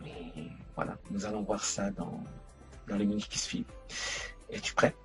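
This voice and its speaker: A man's voice: lowish voice, steady tone, moderate pace, fairly bright tone, faint music behind. A man hosting an educational video, explaining informally to the viewer in a hesitant way, often repeating and correcting himself. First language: French. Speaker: host of an educational video series.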